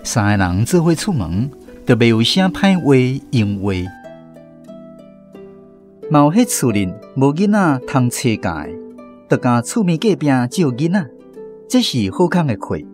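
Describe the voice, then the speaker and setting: A man with a Taiwanese accent narrates a scripted story in a folksy way. His delivery is lively, with wide swings in pitch, over faint plucked-string music. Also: unhurried, long pauses. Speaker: narrator of a radio drama.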